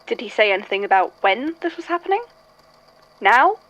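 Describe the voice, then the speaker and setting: A woman's voice: on the high side, sing-song, moderate pace, fairly bright tone, dull audio. A woman playing a sardonic, sceptical male archivist in an audio drama, with a dry, wry delivery. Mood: curious.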